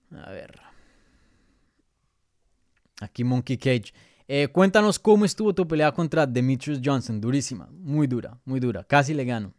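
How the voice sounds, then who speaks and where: A man's voice: medium pitch, no room sound, studio quality. A Colombian-born man hosting a live Q&A, reading viewer questions aloud and answering them in a chatty way, with many fillers and restarts. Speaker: MMA journalist and show host.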